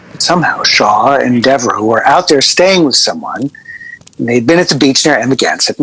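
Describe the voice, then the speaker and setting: An actor playing a wry, exasperated record producer telling rambling anecdotes in a radio drama. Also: male voice, mid-range voice, fairly bright tone, slightly rough, poor audio. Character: wry, exasperated male music producer reminiscing about eccentric artists. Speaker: record label producer being interviewed. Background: music.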